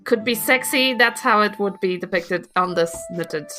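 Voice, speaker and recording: An excitable woman chats through her turn in a tabletop game. Her voice is bright and keen, her delivery loose and gushing, with faint music behind. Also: medium pitch, moderate pace. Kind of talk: casual, improvised game chat.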